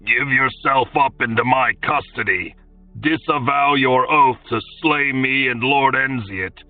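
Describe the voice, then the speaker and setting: A man voicing an angry, exasperated lord who shouts his demands. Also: mid-range voice, measured pace, no room sound, recorded from a distance, narrow, tinny audio, soft background music, pitched above the performer's own voice, lightly acted. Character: blustering, angry male lord.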